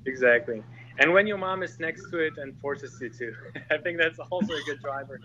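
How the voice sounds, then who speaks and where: A man who grew up in Germany, a guest in a casual online group chat, speaking thoughtfully with many pauses and fillers over a video call. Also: on the high side, bright, forceful tone, dull audio, a little hiss or hum.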